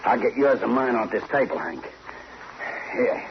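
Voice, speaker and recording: Creaky young palooka by a male actor, childlike, halting, plaintive, old radio drama, muffled with faint noise. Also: medium pitch, lively intonation, close to an everyday voice. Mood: simple, earnest. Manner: halting, simple, plaintive speech. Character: slow-witted, childlike young male prizefighter, earnest and vulnerable. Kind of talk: old-time radio crime drama acting.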